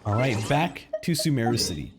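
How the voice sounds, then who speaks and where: A man gives casual live commentary on a game. His delivery swings up and down with lots of animation, and he often repeats himself. Soft music plays in the background. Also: mid-range voice, sing-song, fairly quick. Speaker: streamer reacting to a game.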